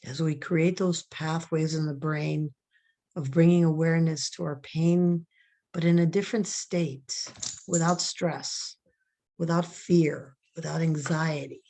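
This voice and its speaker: Slow, low-voiced woman guiding a meditation. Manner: soft, calm, drawn-out instructions. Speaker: meditation guide. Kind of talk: guided relaxation meditation.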